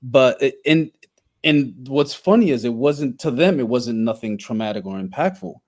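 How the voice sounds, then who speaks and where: A man's voice: medium pitch, lively intonation, moderate pace. A man in his thirties who grew up in Haiti shares his life story as a podcast guest. He talks candidly and reflectively, with some wry humor, in a mid-range voice. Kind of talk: personal storytelling in conversation.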